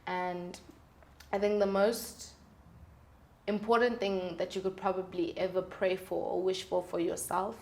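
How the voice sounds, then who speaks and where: A woman's voice: fairly low pitch, little pitch movement, measured pace, a little mellow. Woman chatting candidly in an interview, earnest, thinking things through as she goes. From South Africa. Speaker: singer-songwriter, interview guest.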